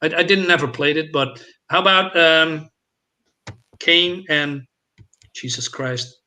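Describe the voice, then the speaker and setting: A man's voice: on the high side, slow pace, pushed and bright. A man chatting casually as a podcast co-host, enthusiastic and swearing freely. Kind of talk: casual chat.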